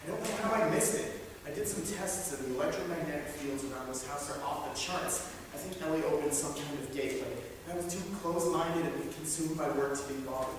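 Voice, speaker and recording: A man's voice: on the high side, brisk, close to an everyday voice. A man playing a stressed, earnest young father and husband in a staged play reading, pleading and exasperated. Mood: regretful. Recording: echoey theatre, far mic, background noise.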